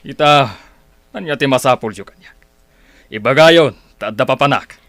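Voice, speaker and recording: An actor playing a stingy middle-aged uncle in a radio drama, gruff and dismissive, with an Ilocano accent. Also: male voice, medium pitch, moderate pace, fairly bright tone, no echo, lightly acted. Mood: curt.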